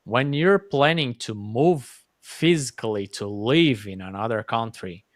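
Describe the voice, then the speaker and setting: A man's voice: medium pitch, a bit bright, dry recording, studio quality. A man hosting a casual interview chat, talking in an enthusiastic, question-asking way. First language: Portuguese. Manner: chatty, enthusiastic, asks questions. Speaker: host.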